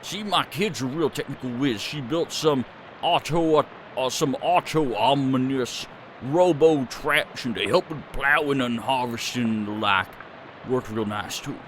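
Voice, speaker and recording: A man's voice: mid-range voice, a little slow, fairly bright tone, slight background noise, pitched below the performer's own voice, natural voice. A man voicing an aging, homely male farmer who talks in a rambling country drawl. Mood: folksy.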